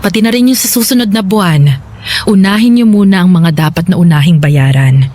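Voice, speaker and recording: Woman playing generous older aunt, Filipino accent, slightly low pitch, motherly, reassuring, radio drama. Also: brisk, mellow, natural voice. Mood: reassuring, earnest. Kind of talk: Tagalog radio drama acting.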